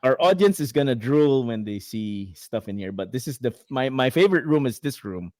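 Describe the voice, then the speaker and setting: A man's voice: mid-range voice, expressive, brisk, a little mellow. A man with a Filipino accent, co-hosting a casual chat show, rambling with many fillers and the odd laugh. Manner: rambling, filler-heavy, laughs while talking. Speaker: podcast co-host.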